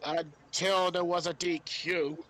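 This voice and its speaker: Grumbling higher-voiced man, faint noise. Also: little pitch movement, slow, sharp tone. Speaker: podcast panelist. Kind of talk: casual interjections.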